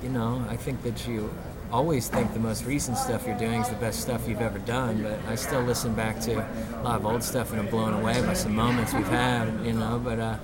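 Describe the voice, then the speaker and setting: A man's voice: fairly low pitch, little pitch movement, a little fast, a loud crowd. A man who plays in a band, answering interview questions in a loose, rambling way full of fillers and "you know". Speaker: band musician.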